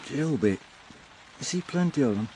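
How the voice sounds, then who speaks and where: An actor playing a cynical, world-weary police detective talking offhandedly in a radio crime drama. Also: male voice, medium pitch, animated, moderate pace, mellow, a little hiss or hum, lightly acted, low-quality recording. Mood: jaded, offhand. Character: jaded, cynical male police detective off duty.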